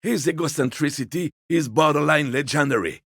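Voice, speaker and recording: A man voicing a genteel, fussy old man in a video game, a husky voice and a polite, wheedling, quietly petty manner. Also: fairly high pitch, brisk, no room sound, clean studio sound. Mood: peeved, sardonic. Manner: polite, wheedling, theatrically indignant. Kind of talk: video game character dialogue.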